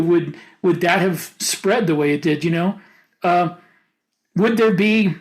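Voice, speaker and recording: An American man with a notably high-pitched voice talks as a podcast co-host. He offers opinions in a casual, rambling way, as part of a panel discussion. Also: rough recording.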